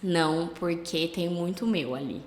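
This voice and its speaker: A Brazilian woman with a slight cold, a travel agency owner chatting casually as a podcast guest, relaxed and full of fillers. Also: lowish voice, a little slow, slight background noise. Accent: Brazilian Portuguese. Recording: echoey room with long reverb.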